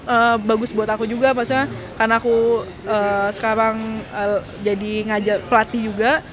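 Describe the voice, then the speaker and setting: A woman's voice: a little high, level tone, moderate pace, crowd noise. A young adult woman with an Indonesian accent, chatting casually as an interview guest and acting out quoted dialogue as she tells her stories. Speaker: guest, professional golfer and coach.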